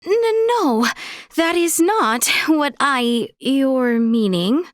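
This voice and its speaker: A young woman playing a courteous, earnest princess in a video game, speaking in formal, archaic courtly phrases with gracious, measured manner. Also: high-pitched, lively intonation, no echo, studio quality, full character voice. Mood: flustered. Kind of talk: scripted video game character dialogue.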